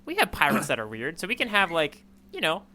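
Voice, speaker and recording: Male co-host, playful casual chatter, fast delivery, lively intonation, very bright tone. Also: a little high. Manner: playful, joking speculation. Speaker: podcast co-host. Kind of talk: casual chat.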